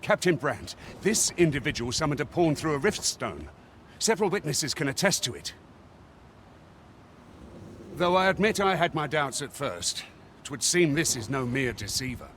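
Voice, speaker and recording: A man's voice: a little high, sing-song, normal speed, fairly bright tone, slight background noise. A man playing a courteous soldier in a medieval fantasy video game, speaking in formal, old-fashioned phrases. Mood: formal, reporting. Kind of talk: video game voice acting, archaic formal speech.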